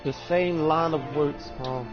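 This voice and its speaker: A man reacting out loud to a video game, exclaiming and repeating himself. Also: on the high side, unhurried. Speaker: gamer reacting to a playthrough. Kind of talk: spontaneous gameplay reaction. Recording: dull mic over game music.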